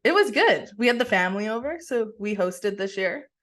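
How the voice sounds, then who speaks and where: A young woman co-hosting a casual podcast from her kitchen over a video call, talking in a relaxed, rambling way with many "like"s and restarts. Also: medium pitch, fairly quick. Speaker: podcast co-host. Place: kitchen at home.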